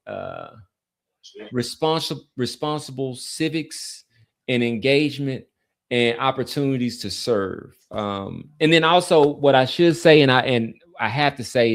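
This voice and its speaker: A man with a mid-pitched voice hosts a casual sports podcast. He talks in a loose, rambling way, often halting as he looks for the right word. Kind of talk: casual sports chat and community sign-off.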